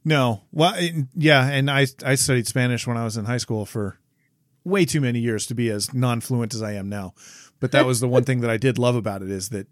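A man co-hosting a podcast, jokey and quick to laugh in casual conversation. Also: mid-range voice, no echo, clean studio sound. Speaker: podcast co-host.

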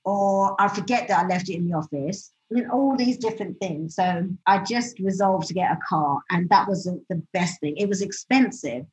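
Chatty woman telling lively anecdotes. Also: lowish voice, moderate pace, hardly any pauses. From United Kingdom. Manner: animated storyteller, acts out quoted speech. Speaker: interviewee. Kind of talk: casual conversational interview. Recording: slightly dull lo-fi audio.

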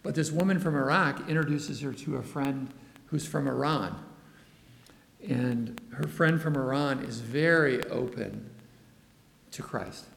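Gravelly man telling a mission story. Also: medium pitch, measured pace. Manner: animated storytelling, acts out quoted dialogue. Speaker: missionary speaker. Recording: echoey hall, long reverb.

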